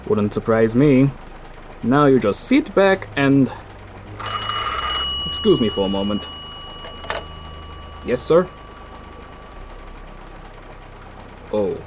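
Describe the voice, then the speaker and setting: An actor playing a courteous, apologetic city coordinator who turns quietly menacing, in an audio drama. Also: male voice, mid-range voice, noisy background. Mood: deferential. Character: polite but sinister city coordinator man. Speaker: coordinator of a recycling facility.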